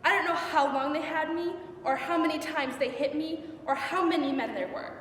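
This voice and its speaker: A young woman playing an anguished biblical concubine in a dramatic stage monologue, with halting, repetitive, intense delivery. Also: sits high, fairly bright tone, voice pushed up. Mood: desperate, anguished. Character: anguished young unnamed biblical concubine recounting her ordeal. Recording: echoey hall from far off, faint noise.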